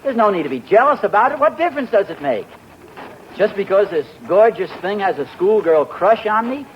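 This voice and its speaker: An actor playing a vain, fussy husband in a 1940s radio sitcom, who is indignant and wheedling. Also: male voice, high voice, fairly quick. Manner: indignant, wheedling, self-flattering. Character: vain, fussy, easily flustered middle-aged husband. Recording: dull, faintly hissy old radio broadcast.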